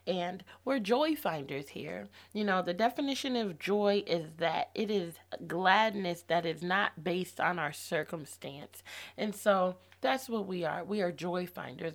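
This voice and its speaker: Hoarse, gravelly woman hosting a podcast. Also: on the low side. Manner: upbeat, encouraging. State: hoarse, losing her voice. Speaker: podcast host. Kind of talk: faith-based podcast talk.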